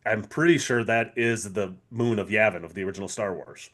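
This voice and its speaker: A man co-hosting a podcast, talking in casual fan chat with an eager, halting, rambling manner. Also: fairly low pitch. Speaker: podcast co-host.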